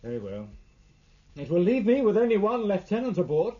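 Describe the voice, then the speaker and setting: A man's voice: high-pitched, sing-song, a little fast, muffled recording. A man playing an uneasy, formal British acting captain in a radio drama, clipped and deliberating in manner. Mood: brisk, formal.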